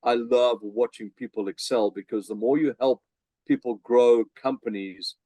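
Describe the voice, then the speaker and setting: Man, South African accent, middle-aged, energetic guest, fillers and restarts, medium pitch, dry close sound. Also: moderate pace, dry recording. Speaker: podcast guest, business coach. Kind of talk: casual interview chat.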